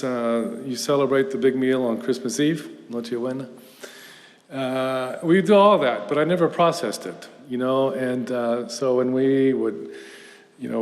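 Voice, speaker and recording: A middle-aged man from Houston giving a conference talk as guest speaker, telling stories in a relaxed, self-deprecating way with fillers. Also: medium pitch. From Houston, Texas, USA. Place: lecture hall. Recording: lecture hall with long reverb.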